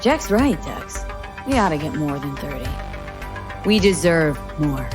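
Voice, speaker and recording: A tough young woman mercenary in a video game. Her voice sits fairly low, cool and blunt, with short casual phrasing. Faint music runs underneath. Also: sing-song, a little slow. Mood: challenging.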